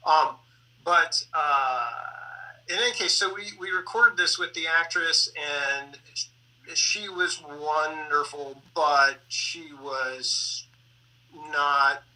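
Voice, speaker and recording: Halting middle-aged man, very bright voice. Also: on the high side, distant mic, low-quality recording. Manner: halting, broken phrasing with many pauses and self-corrections. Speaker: sound designer and composer for radio plays. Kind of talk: conversational panel talk about theater production.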